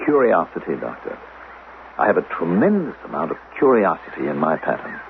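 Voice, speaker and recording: An old, narrow radio recording with faint noise carries an officious state investigator from a futuristic radio play. His delivery is formal and commanding, with very animated intonation and every phrase set out precisely. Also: low voice, a little fast. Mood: wry, confiding. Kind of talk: 1970s radio drama acting.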